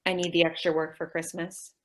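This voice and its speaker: A woman's voice: fairly low pitch, little pitch movement, brisk, a bit bright, slight background noise, close to an everyday voice. A woman acting a sweet, dim-witted, earnest young secretary in an old-time radio comedy. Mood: earnest, naive. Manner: naive, literal-minded, earnest.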